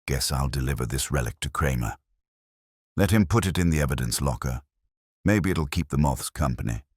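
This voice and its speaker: A man's voice: extremely low pitch, expressive, moderate pace, husky, dry recording, clean studio sound, full character voice. A man voicing a wisecracking detective's assistant in an audiobook, with wry, casual quips.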